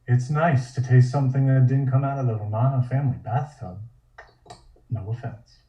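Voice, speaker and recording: A man playing a shrewd, smooth, quietly commanding 1920s film studio boss in a comic table read. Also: mid-range voice, steady tone, normal speed, natural voice. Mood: suave, probing. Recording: roomy, dull home microphone.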